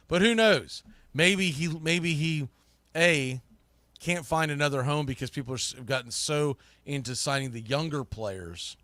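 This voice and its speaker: A man's voice: medium pitch, a bit bright, no room sound. An American man hosting a baseball podcast, giving emphatic, opinionated, folksy off-the-cuff commentary. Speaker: baseball podcast host and broadcaster.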